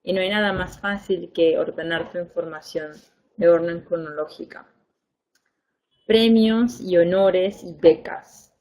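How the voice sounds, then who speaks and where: A young woman education advisor, a native Spanish speaker, giving a webinar lesson in a clear, patient way, stressing points by repeating words. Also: lowish voice, steady tone, measured pace, darkish tone, low-quality recording. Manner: clear, patient explaining with fillers and repeated emphasis.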